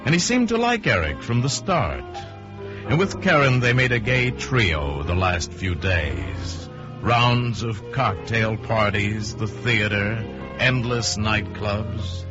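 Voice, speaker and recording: A man narrating a suspense tale in a deliberate, theatrical old-time radio drama style. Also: lowish voice, sing-song. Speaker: storyteller narrator. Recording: muffled old radio recording with music. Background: dramatic music.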